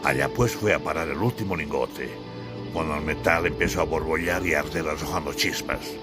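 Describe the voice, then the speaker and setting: A man from Bolivia narrates an audiobook. His voice sits very low, and he delivers scripted literary prose with theatrical emphasis. Music plays clearly underneath. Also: fairly quick.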